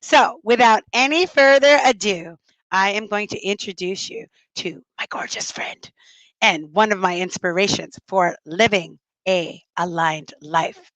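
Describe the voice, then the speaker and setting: A middle-aged woman hosting a livestream podcast, upbeat and motivational, her voice a little hoarse and raspy. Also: mid-range voice, a little slow, fairly bright tone, dry recording, low-quality recording. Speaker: podcast host.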